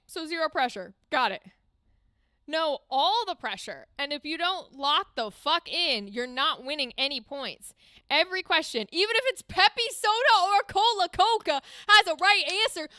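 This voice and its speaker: A young American woman streaming a game, reacting excitedly and swearing freely as she plays. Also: sits high, normal speed, no room sound, clean studio sound. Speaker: streamer playing games. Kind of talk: live game commentary.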